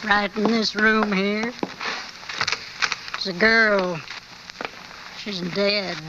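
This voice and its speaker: An actress playing an unsentimental keeper of a seedy hotel, offhand and sardonic, in 1940s radio drama style. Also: female voice, medium pitch, steady tone, extremely slow, very noisy. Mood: weary, sardonic. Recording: old muffled radio recording, music underneath.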